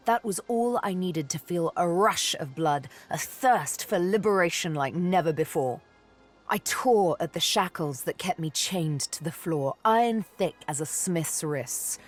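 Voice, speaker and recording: A woman playing a sly, bitter elf assassin and former slave in a video game, with a teasing, menacing way of telling things. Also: lowish voice. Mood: intense, awed. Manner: slow, teasing, menacing storytelling delivery. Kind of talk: video game character acting. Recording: music behind the voice.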